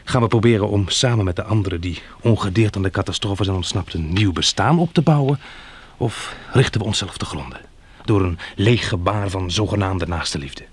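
A man's voice: low voice, moderate pace, breathy edge. An actor playing an earnest, practical man in his late twenties who survived a catastrophe, in a radio drama. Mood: earnest, persuasive. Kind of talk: Dutch radio drama acting.

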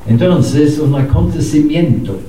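Slow, mellow older man lecturing in a hall. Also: on the high side, expressive, slight background noise. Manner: measured, emphatic, pausing between short phrases. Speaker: peace researcher giving a lecture. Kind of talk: public lecture. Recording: echoey hall, speaker far from mic.

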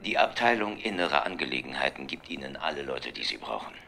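A man playing a cool, conspiratorial police president in a radio play, speaking with measured, curt authority. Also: very deep voice, very animated, creaky, pushed and bright, slight background noise, exaggerated voice.

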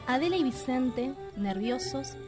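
Woman, Argentine accent, narrator, measured, scripted, music bed. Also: sits high, lively intonation. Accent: Argentine Spanish. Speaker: radio drama narrator.

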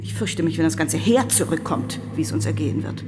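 An actress playing a middle-aged, worried mother of a returned soldier in a radio drama, plaintive and gently reproachful. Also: deep voice, animated, brisk, background noise. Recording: faint music behind the voice. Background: faint music.

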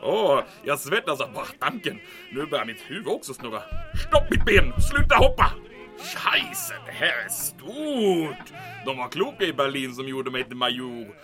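An actor playing a vain, excitable German army major in an audio drama, pompous and gloating as he talks to himself. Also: mid-range voice, soft background music. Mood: giddy, overexcited.

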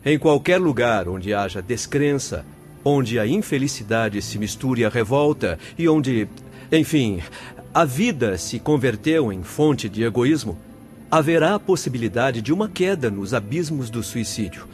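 An actor as a compassionate spirit counsellor in a radio play. He orates gravely in a mid-pitched voice with sweeping intonation. Light music plays underneath. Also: expressive, unhurried, a little mellow, close to an everyday voice. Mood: solemn compassion. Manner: oratorical, solemn, formal. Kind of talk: radio drama acting.

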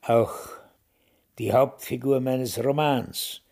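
An actor playing a vain, stubborn old man in a German radio drama, self-important and defensive, with a gravelly, husky voice. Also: mid-range voice, fairly even intonation, takes it slowly, a little mellow. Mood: boastful. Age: older.

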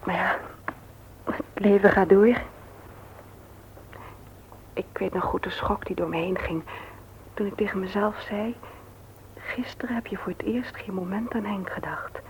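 A woman's voice: mid-range voice, fairly even intonation, unhurried, long pauses, close to an everyday voice. An actress playing a candid, talkative widowed mother in a naturalistic radio play. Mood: subdued, wistful. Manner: talkative, confiding, forthright. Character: candid, chatty widowed mother, frank about her feelings. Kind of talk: naturalistic radio drama acting. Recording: muffled, noisy old radio play recording.

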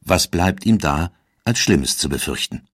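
A man narrating a scripted historical documentary in a measured storytelling manner. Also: deep voice, expressive, a bit bright, slightly rough, no echo, studio quality.

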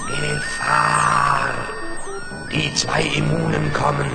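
A man playing a menacing, fearful alien plasma mass in a radio play, speaking slowly with a gravelly, creaking voice. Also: on the high side, huge pitch swings, exaggerated voice. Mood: menacing, alarmed. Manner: slow, ominous declarations. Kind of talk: 1970s German radio play. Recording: long cavernous reverb over music.

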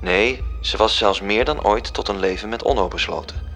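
A man playing a composed, authoritative heavenly spirit who explains a divine plan in measured, formal words in a radio drama. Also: on the low side, gravelly, a bit bright. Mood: assured explaining. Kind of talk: radio drama narration and dialogue. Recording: music behind the voice.

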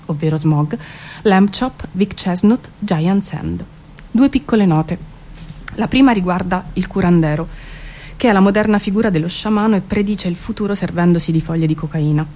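A woman giving an expressive, wry theatrical reading of literary prose. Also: fairly low pitch. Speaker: performer reading prose poems. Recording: music behind the voice. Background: music.

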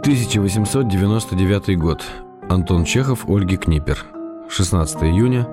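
Playful male letter-writer, low pitch, measured declamation, wry gallant temper, radio play, music clearly behind. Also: animated. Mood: teasing, wry. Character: playful, courteous male writer reading out his affectionate letters.

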